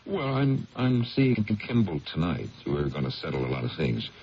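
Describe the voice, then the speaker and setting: A man's voice: extremely low pitch, big pitch swings, moderate pace, heavily acted. A man playing a troubled, guarded district attorney in a 1970s radio drama, educated and hesitant under pressure. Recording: muffled 1970s radio recording, faint hiss.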